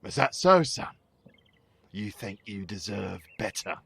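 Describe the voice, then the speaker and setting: A man's voice: lowish voice, animated, a little slow, vocal fry, fairly bright tone, slightly rough, no echo, exaggerated voice. A man playing an angry, domineering father in an audio drama, confrontational and demanding. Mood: furious, bullying.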